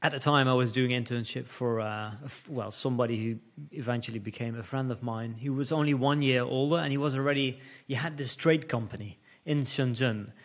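A man's voice: mid-range voice, brisk. A man in his thirties from the Netherlands, a native Dutch speaker with a Dutch accent, eagerly telling his story as a podcast guest with many restarts. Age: 30s. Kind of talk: conversational storytelling.

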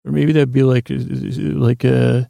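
A man hosting a podcast with a meandering, halting bedtime monologue that keeps drifting off track, in a gravelly, creaky voice. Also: mid-range voice, flat delivery, warm tone, dry recording, studio quality. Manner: meandering, halting, digressive, loses his thread. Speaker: podcast host. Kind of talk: rambling bedtime monologue meant to help listeners sleep.

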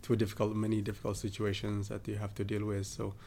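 Gravelly low-voiced man, halting. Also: completely flat delivery, dark tone. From southern Ethiopia. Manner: hesitant, many fillers and restarts. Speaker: guest. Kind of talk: casual interview talk.

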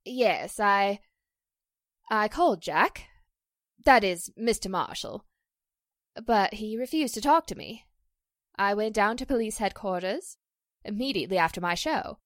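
A woman playing a distressed, pleading stage actress in a 1940s-style radio drama, halting and emotional. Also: medium pitch, lively intonation, no room sound, studio quality, close to an everyday voice. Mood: tense, halting. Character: distressed stage actress accused of a publicity stunt, pleading, wounded.

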